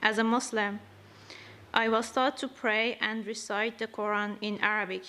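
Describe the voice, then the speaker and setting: A woman not speaking her first language gives a slow, earnest testimony at church, reading carefully from prepared notes. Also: medium pitch, little pitch movement, pushed and bright. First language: not English. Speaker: church member giving a testimony.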